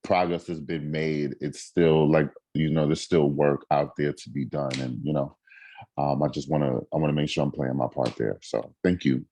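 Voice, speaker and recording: A man who is a featured music artist, talking in a relaxed and grateful way, with plenty of fillers. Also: very deep voice, normal speed, slight background noise. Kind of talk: casual video call chat.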